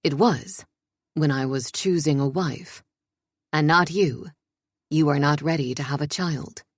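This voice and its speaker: An actor voicing a commanding, controlled older royal man in audiobook dialogue, measured and authoritative. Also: fairly high pitch, no echo. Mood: firm, measured.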